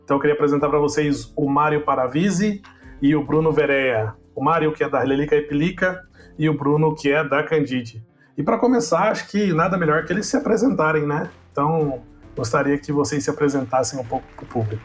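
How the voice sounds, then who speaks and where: A man hosting a podcast in an upbeat, friendly way with quick asides. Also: on the high side, faint music behind. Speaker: podcast host.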